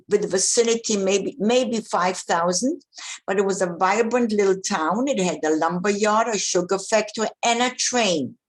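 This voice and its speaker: Elderly woman telling her life story. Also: mid-range voice. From Poland. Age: older. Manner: plain, direct, with restarts and asides. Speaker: Holocaust survivor speaker. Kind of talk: personal testimony talk.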